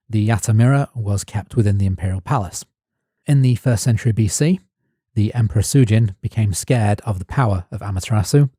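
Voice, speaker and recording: A man co-hosting a podcast, reading his prepared notes as measured narration. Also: lowish voice, mellow, slightly rough, dry recording, studio quality. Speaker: podcast co-host. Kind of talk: scripted narration.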